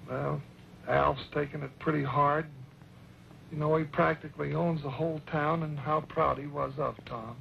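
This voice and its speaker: Western small-town judge, high creaky voice, folksy, comically pompous, dull old radio sound. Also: male voice, fairly even intonation, normal speed, a little hiss or hum. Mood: concerned. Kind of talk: old-time western radio drama acting.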